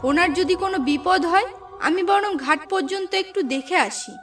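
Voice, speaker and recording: A woman's voice: very high-pitched, a little fast, a bit bright, soft background music. A woman playing a worried, stubborn village wife in a horror cartoon. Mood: anxious, insistent. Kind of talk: Bengali cartoon voice acting.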